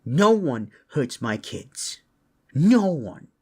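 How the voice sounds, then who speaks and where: A man's voice: medium pitch, animated, moderate pace, lightly acted. A man voicing a hot-tempered, vengeful father and roguish paladin, brash and blunt, in a fantasy audio roleplay. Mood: furious. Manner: brash, blunt, foul-mouthed.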